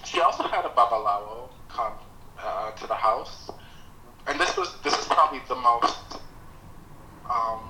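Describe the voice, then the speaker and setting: A man from Nigeria whose first language is Yoruba, telling his life story slowly and reflectively as a podcast guest. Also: medium pitch, lively intonation, bright, forceful tone, reverberant, slight background noise, low-quality recording. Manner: slow, reflective, hesitant with pauses. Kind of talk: personal storytelling.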